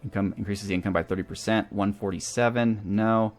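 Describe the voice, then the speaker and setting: A man's voice: on the low side, fairly even intonation, a little fast. A man hosting a video game playthrough, talking casually and thinking aloud as he weighs his options. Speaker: host of a gaming series. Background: background music.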